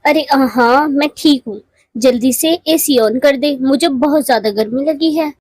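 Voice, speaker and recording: Cartoon elder-sister bird played by a woman: female, high voice, showy, snobbish, measured delivery. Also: darkish tone, dry recording. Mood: condescending, boastful. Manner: condescending, showy. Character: haughty rich married female bird, boastful elder sister. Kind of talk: Hindi moral cartoon voice acting.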